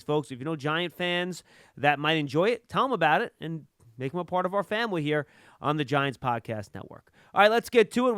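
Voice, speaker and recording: A man's voice: on the high side, fairly bright tone, dry recording, clean studio sound. A man hosting a sports podcast, introducing segments and putting questions to his guest. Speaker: podcast host and interviewer.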